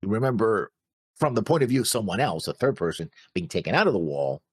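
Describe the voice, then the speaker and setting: A man's voice: fairly low pitch, big pitch swings, fast, slightly rough, dry recording, clean studio sound. A man co-hosting a casual discussion show, rambling through his notes and acting out imagined remarks. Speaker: reaction show co-host.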